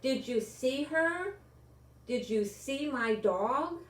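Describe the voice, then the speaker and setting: An anxious young boy from a storybook, voiced by an older woman reading aloud. He is earnest and emphatic, with slow, careful words. The sound is echoey and slightly distant. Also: high voice, lively intonation, voice pushed up. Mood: anxious pleading. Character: young boy anxiously searching for his lost beagle. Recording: echoey room, distant microphone.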